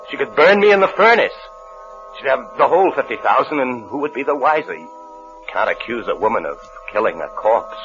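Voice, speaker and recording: An American man, an actor playing a paranoid husband in hiding, in halting, haunted 1950s radio suspense style. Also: mid-range voice, natural voice. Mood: haunted dread. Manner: haunted, halting, repeating phrases. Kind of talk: 1950s radio suspense acting, first-person narration and dialogue. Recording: muffled old radio broadcast with music.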